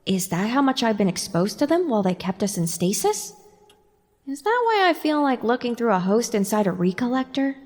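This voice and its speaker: A woman's voice: on the high side, sing-song, a little fast, darkish tone. A woman playing an anxious, vulnerable young pony mare in an audio drama, plaintive and questioning. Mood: bewildered. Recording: long hall-like reverb.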